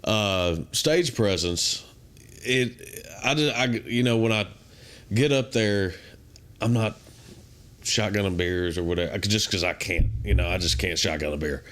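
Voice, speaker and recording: A young man from with a naturally deep voice, chatting in a relaxed, drawling way as a podcast guest, full of "man" and "uh". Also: measured pace. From Kentucky. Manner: laid-back, drawling, many fillers like "man" and "uh". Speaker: country singer, guest. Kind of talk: casual interview chat.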